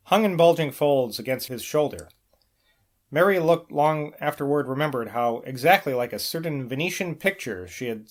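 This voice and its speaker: A man narrating a novel as an amateur audiobook reader, with the occasional small stumble over the text. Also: medium pitch. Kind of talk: scripted literary narration, novel read aloud.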